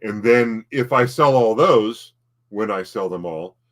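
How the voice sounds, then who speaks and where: A man co-hosting a casual home livestream, talking in halting bursts and often repeating his words. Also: lowish voice, animated. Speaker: livestream co-host, collectibles seller.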